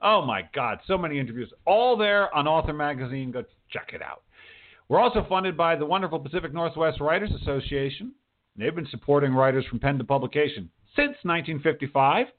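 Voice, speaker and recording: American guy hosting a chat show, really animated up and down, chatty with little asides, tinny phone sound. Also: fairly high pitch, brisk. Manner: animated, informal, with emphatic asides and self-echoing phrases. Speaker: radio show host and writing teacher. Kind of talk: chatty show introduction and interview.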